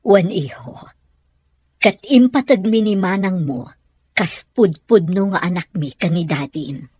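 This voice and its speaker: A woman acts out a middle-aged mother in a serial family drama. The delivery swings widely in pitch and has a husky grain. She is patient and protective, explaining gently with open feeling. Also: lively intonation, a little slow, no echo. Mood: gentle, earnest. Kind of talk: radio-style serial drama acting.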